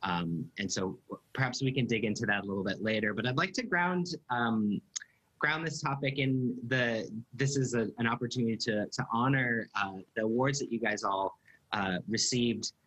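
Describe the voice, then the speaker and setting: A man's voice: medium pitch, moderate pace, a bit bright, muffled recording. A man in his thirties with an American accent, chattily moderating a panel over a video call. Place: indoor room at home on a video call. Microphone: computer video call.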